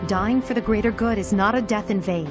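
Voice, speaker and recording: A woman playing a composed, resolute young noblewoman in a video game, speaking in a measured, earnest, formal way. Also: mid-range voice, natural voice. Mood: grave resolve. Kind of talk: scripted video game voice acting. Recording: loud music behind the voice. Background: game soundtrack music.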